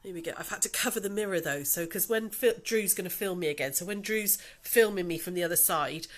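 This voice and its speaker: A woman's voice: lowish voice, quick pace. A middle-aged woman with a slightly snuffly, nasal voice, chatting casually to viewers as a craft tutor on a livestream from her dining room. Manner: chatty, greeting viewers by name with endearments. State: snuffly from allergies. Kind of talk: casual live chat to viewers. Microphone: phone on livestream.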